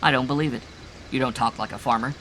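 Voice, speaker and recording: A man voicing a young male doctor who asks sharp, pressing questions. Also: medium pitch, steady tone, fast, slight background noise, lightly acted, low-quality recording. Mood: accusing.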